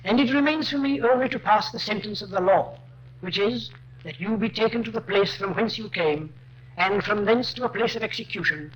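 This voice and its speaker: An actor playing a grave Victorian judge in a radio drama, speaking solemnly and formally. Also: male voice, high-pitched, moderate pace, fairly bright tone. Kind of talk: 1950s radio crime drama. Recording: dull, noisy old radio recording.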